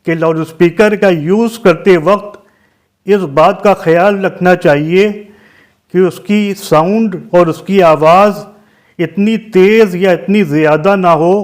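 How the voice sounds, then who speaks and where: A man with a Lucknow Urdu accent giving religious guidance as a cleric, speaking deliberately and repeating key phrases for emphasis. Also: high voice. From Lucknow, Uttar Pradesh, India. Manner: deliberate, emphatic repetition, explains with synonyms.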